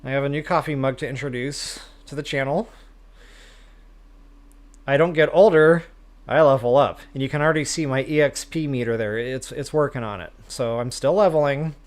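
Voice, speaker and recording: A man's voice: mid-range voice, moderate pace. A man hosting a game livestream, chatting casually with viewers in a self-deprecating way. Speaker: live stream host, gamer.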